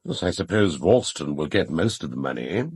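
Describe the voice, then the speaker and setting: Very deep, husky British theatre critic. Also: male voice, big pitch swings, moderate pace, darkish tone, exaggerated voice. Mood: arch, curious. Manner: drawling, dry, arch. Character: languid, waspish male theatre critic, urbane and inquisitive. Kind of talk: radio drama acting.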